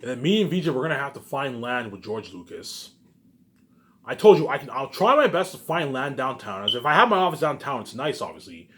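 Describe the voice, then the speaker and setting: A man talks very quickly in a casual, rambling monologue at an average pitch. He repeats himself for emphasis and keeps punctuating his points with rhetorical check-in questions. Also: sing-song, fairly bright tone, a little hiss or hum. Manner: repetitive, emphatic, with frequent rhetorical tag questions. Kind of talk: rambling casual monologue about personal plans.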